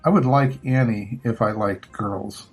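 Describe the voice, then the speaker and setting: Older male storybook reader, husky, unhurried, measured phrasing for young children. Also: on the low side, soft background music. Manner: measured, pausing between short phrases. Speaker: grandfather reading picture books aloud. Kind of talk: children's storybook read-aloud.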